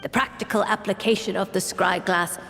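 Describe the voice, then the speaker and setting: A woman's voice: mid-range voice, fairly even intonation, fairly quick, fairly bright tone, soft background music, close to an everyday voice. A woman voicing a smug, self-admiring genius sorceress, haughty and scholarly, reading as an anime-style game voice-over. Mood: self-satisfied. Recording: echoing reverb, faint ambient noise.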